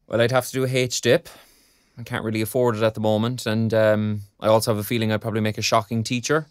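A man's voice: fairly low pitch, little pitch movement, fast, smooth, dry recording, studio quality, lightly acted. A male actor playing a preoccupied young graduate in an audio drama, with an Irish accent and a hesitant, self-deprecating manner. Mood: wry. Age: young adult. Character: wry, preoccupied young arts graduate job-hunting. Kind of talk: naturalistic audio drama acting.

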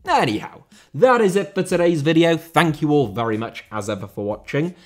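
A man's voice: on the high side, lively intonation, fairly quick, darkish tone. Wry man, nasal narration. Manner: dry, wry asides. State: nasal from hay fever. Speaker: football video host. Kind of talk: scripted voice-over narration.

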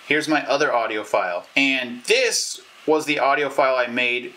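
Bright-voiced man giving a casual tutorial. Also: medium pitch, animated, normal speed, rough recording. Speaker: YouTube tutorial presenter. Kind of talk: casual explanatory how-to talk. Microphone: clip-on lavalier or camera mic.

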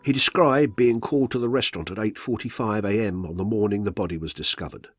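Male radio narrator, true-crime script: measured, factual, husky edge, dry studio sound. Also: lowish voice, no echo, soft background music.

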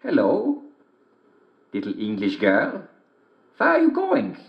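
A man voicing a sly wolf in a squeaky, put-on voice, hesitant and fawning as he talks. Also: huge pitch swings, takes it slowly, gravelly, full character voice. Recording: dull, roomy sound.

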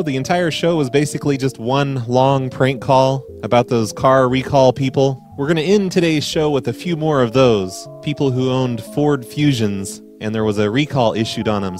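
A man's voice: mid-range voice, moderate pace, hardly any pauses, a little mellow, soft background music. A man hosting a prank call show, talking in a casual, matter-of-fact way. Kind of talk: casual podcast talk and prank calling.

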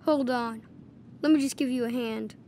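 An actor voicing a young boy putting on a lower, mock-heroic voice for his pretend superhero. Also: fairly even intonation, moderate pace, a little mellow, a little hiss or hum. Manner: deepened, mock-heroic boast. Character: boy's made-up gruff hero voice.

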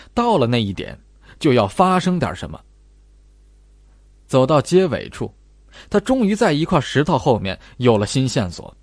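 A man reads a suspense horror audiobook in a medium-pitched, husky voice. His scripted delivery is dramatic, with wide swings in intonation, and the recording is close and dry. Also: darkish tone, dry recording. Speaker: audiobook narrator.

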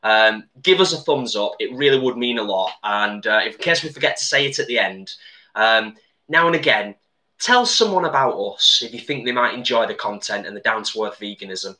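Man, bright voice, chatty live-stream co-host, home kitchen, webcam sound. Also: fairly low pitch, animated, moderate pace, low-quality recording. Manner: chatty, laughs often, reads out viewer comments. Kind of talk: casual live-stream chat.

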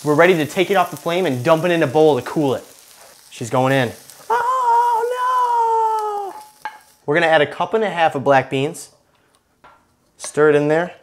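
Casual male cooking host, 30s, jokey and relaxed, slightly high voice, home kitchen. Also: a little slow, long pauses, slight background noise. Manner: laid-back, jokey, talks to camera while cooking.

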